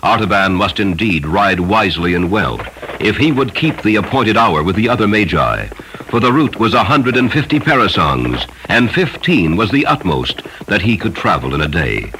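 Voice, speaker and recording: A man narrating a literary story in a solemn, measured, dramatic way. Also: lowish voice, sing-song, pushed and bright, a little hiss or hum, rough recording. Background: music behind the voice.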